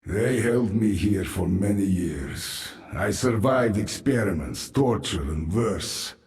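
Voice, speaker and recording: An actor playing a grim, vengeful infested man who speaks in a measured, grave way. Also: lowish voice, animated. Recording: echoey, over loud music.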